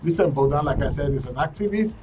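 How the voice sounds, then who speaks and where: A man hosting a live call-in radio talk show, briskly taking callers and guests with quick acknowledgements. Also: fairly high pitch, lively intonation, distant mic, very noisy. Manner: brisk, cuts in with short acknowledgements. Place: radio studio.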